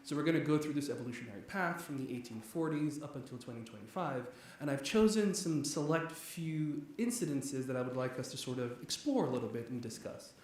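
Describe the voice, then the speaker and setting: A man's voice: mid-range voice, expressive, a little fast, few pauses, a little mellow. A man from Nigeria who teaches economics, giving an eager, talkative guest lecture with many fillers and restarts. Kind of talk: public lecture. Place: large echoey church hall. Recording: very echoey hall, faint background noise.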